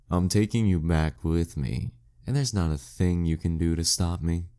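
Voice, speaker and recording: Demon king villain for audio roleplay, male actor: very low, unhurried, smug and seductively commanding. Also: dark tone. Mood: gloating, mocking. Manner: teasing, condescending, menacingly courtly. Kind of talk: flirty audio roleplay monologue addressed to the listener.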